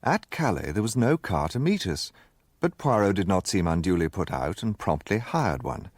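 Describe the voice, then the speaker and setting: British guy narrating a detective radio play, very low voice, close and dry. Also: very animated, normal speed, dry recording. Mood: measured recollection. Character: male narrator recalling a detective adventure, measured and literate. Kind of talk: scripted radio drama narration.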